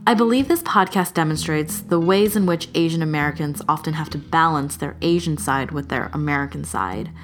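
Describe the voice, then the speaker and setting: A woman reading a scripted, earnest narration in a measured way. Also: low-pitched. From Chinese heritage.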